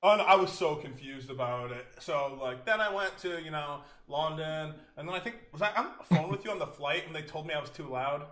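A young American man telling travel stories in a casual podcast chat, animated and laughing often, acting out quotes. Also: fairly high pitch, fairly quick, few pauses. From United States. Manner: says he has a loud voice. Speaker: podcast co-host.

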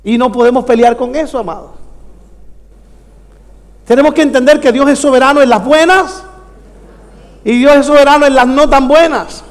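A man's voice: extremely high pitch, moderate pace, pauses often. A man preaching a sermon to a congregation in an exhorting way, repeating phrases and prompting listeners with rhetorical questions. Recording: echoey hall with long reverb.

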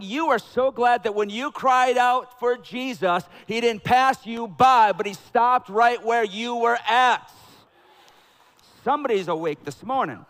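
A middle-aged man pastoring a church, preaching in a conversational, energetic style that builds to loud emphatic peaks, on a headset mic. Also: very high-pitched. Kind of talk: sermon with announcements and prayer. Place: church sanctuary.

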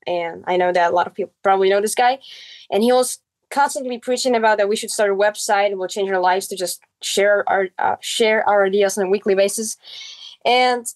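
A woman's voice: medium pitch, brisk. A girl in her early teens with a Czech accent, a native Czech speaker, telling her story conversationally as a podcast guest. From Czech Republic. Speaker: podcast guest, young writer.

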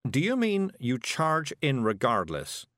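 A man's voice: medium pitch, wildly swooping pitch, measured pace, a bit bright, no echo, studio quality, pitched above the performer's own voice. A man voicing a polite, puzzled, kindly woman with a hesitant, questioning manner in a storybook reading. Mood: doubtful.

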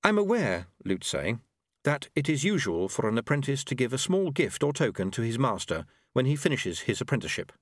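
A man voicing a calm, quietly assured young male apprentice monk. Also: mid-range voice, no echo, clean studio sound, voice pushed up. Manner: calm, measured, quietly firm.

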